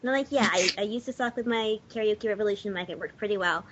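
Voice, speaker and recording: A woman's voice: mid-range voice, quick pace, a bit bright, a little hiss or hum. A woman chatting casually as a panelist on a podcast, recorded on a dull lo-fi voice chat. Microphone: USB or desktop mic covered with a sock.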